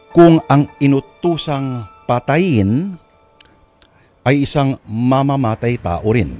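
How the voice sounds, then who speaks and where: A man hosts and narrates a radio drama in a theatrical, rhetorical way, with deliberate suspenseful pauses. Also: medium pitch, a little mellow. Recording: music bed behind voice.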